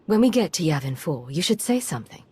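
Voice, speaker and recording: A cool female secret agent for a sci-fi game. Her voice sits fairly low, and she speaks in a measured, self-assured, matter-of-fact way. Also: sing-song, mellow, breathy edge, exaggerated voice. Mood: calm. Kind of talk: dramatic video game acting.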